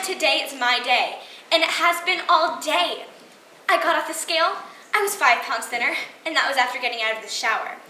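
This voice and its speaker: A young teenage girl performing a stage monologue as an excitable, hopeful young woman, chatty and dramatic. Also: high-pitched. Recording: roomy, distant, noisy live recording.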